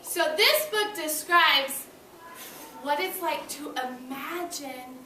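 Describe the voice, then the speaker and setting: A woman's voice: high-pitched, slow pace, pushed and bright. A woman teaching young children as an elementary school teacher, instructing them and prompting them to repeat after her. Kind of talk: interactive classroom read-aloud lesson to young children. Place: indoor school classroom. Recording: noisy echoey classroom, distant microphone. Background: room noise and children.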